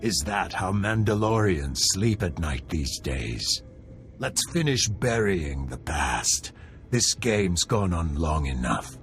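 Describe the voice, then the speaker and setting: A man's voice: low voice, sing-song, slow pace, exaggerated voice. A man voicing a proud, bitter older traitor in a video game, sardonic and theatrical. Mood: bitter, taunting. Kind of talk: dramatic video game villain dialogue. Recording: faint game music behind the voice.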